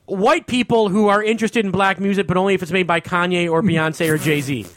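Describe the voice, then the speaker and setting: A man hosting a podcast in casual comedic banter, opinionated and quick to cut in with interjections. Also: sits high, steady tone. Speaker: podcast host.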